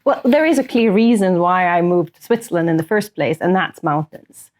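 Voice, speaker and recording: A woman's voice: lowish voice, expressive, moderate pace, darkish tone. A woman in her thirties or early forties from northern Sweden, a native Swedish speaker, explaining things earnestly as an executive in a conversational interview. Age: 30s to early 40s. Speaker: corporate sustainability executive, interview guest.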